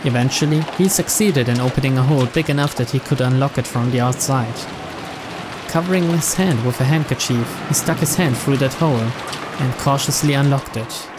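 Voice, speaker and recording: Male narrator, average register, reading visual novel mystery prose under a loud musical score. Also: medium pitch, brisk, music in the background.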